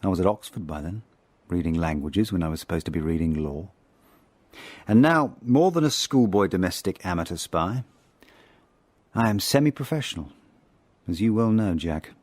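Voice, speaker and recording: A man acting a reflective middle-aged spy, narrating his past in a radio drama in a measured, wry, literary way. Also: low voice, lively intonation, darkish tone, no echo. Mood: wry, wistful.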